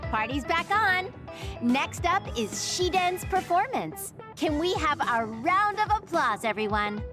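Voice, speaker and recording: A sweet, timid young girl for an anime-style game. Her voice is very high and lilting, with a hesitant, polite delivery. Music plays behind her. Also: very animated, a little slow, exaggerated voice. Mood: cheerful.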